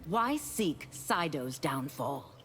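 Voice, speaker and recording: Solemn warrior, expressive pitch, righteous, reproachful, game drama, reverberant, faint noise. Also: female voice, exaggerated voice. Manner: measured moral reproach. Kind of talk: dramatic fighting-game acting.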